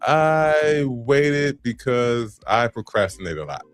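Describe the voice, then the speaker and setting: A man in his late thirties talks as a podcast guest, unhurried and relaxed as he tells his story. His voice sits at a middle pitch, with faint music playing in the background. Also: clear, resonant tone, no echo. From South Side. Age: 30s. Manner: relaxed storytelling with acted-out quotes. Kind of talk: casual interview chat.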